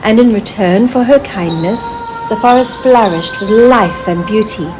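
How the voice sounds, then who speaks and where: A woman reading a children's story aloud in an expressive, deliberate storytelling manner. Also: medium pitch, noisy background. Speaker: author reading own story. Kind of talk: scripted children's storybook narration.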